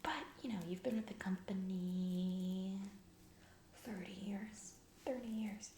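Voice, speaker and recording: A woman's voice: mid-range voice, slow, mellow, reverberant, slight background noise, natural voice. A woman playing a distracted, callous corporate CEO in a comedy ASMR roleplay, rambling breezily in corporate-speak and trailing off. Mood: patronizing.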